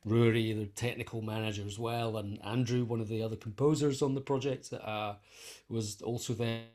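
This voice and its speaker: A man's voice: mid-range voice, steady tone, normal speed, a little mellow. A man being interviewed, explaining his work in a casual conversational way, thinking aloud and repeating phrases as he goes. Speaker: interview guest, composer and conductor.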